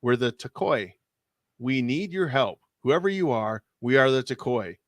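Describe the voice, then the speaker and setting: A man slowly reading the plea of a dying alien calling for help from a book. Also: mid-range voice, animated, no room sound, natural voice. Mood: pleading.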